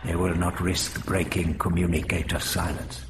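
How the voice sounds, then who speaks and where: A man voicing grave, low winged alien deputy. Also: level tone, normal speed, over music, close to an everyday voice. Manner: grave, resigned.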